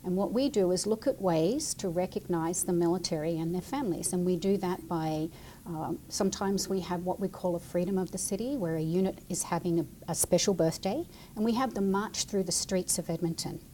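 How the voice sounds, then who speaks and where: A woman's voice: on the low side, a little fast, warm tone, a little hiss or hum, poor audio. A woman explaining her work to children as a guest, in plain, chatty language. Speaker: city military liaison and veteran, guest speaker.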